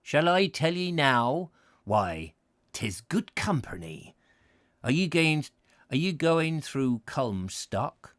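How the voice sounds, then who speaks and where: A man voicing a chatty old male pedlar who talks in a slow, folksy, old-fashioned rural dialect. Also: a little high, sing-song, fairly bright tone, no room sound, studio quality, pitched above the performer's own voice, exaggerated voice. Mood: hearty.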